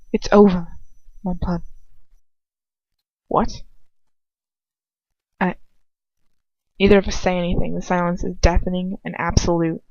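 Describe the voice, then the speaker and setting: A woman's voice: fairly low pitch, a little mellow, dry recording, dull audio. A woman reading first-person fiction aloud as an amateur narrator, in a dramatic style. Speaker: amateur audiobook reader.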